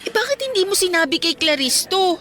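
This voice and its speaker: An actress playing a playful, stubborn young woman in love in a Filipino radio romance, teasing and expressive. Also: very high voice, brisk, full character voice. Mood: affectionate. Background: music.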